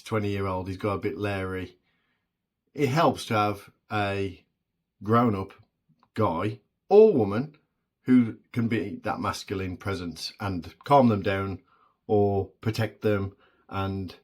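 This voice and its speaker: Deep-voiced man musing hesitantly. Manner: hesitant, halting, many fillers and restarts. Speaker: vlogger. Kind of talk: solo reflective monologue.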